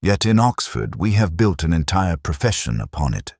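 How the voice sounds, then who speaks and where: A man with an extremely deep voice narrates a detective story in the first person. His delivery is measured and literary, with lively rises and falls in pitch. The sound is close and dry. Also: creaky, slightly rough, dry recording.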